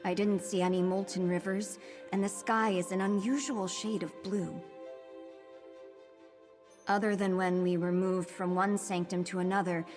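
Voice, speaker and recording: A woman's voice: mid-range voice, level tone, unhurried, a little mellow, faint music behind, close to an everyday voice. A woman playing an earnest, sheltered young woman with hidden powers in a fantasy video game, speaking formally.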